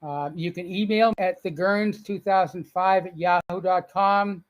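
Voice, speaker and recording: A man's voice: high voice, fairly even intonation, moderate pace, muffled recording. An older man with a Boston accent, telling stories as a guest in a casual upbeat interview. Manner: folksy, upbeat storyteller.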